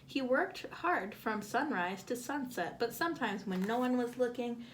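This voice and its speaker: Lively high-voiced woman reading to children. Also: moderate pace, slight background noise, poor audio. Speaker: children's storybook reader. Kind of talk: read-aloud of a picture book for kids.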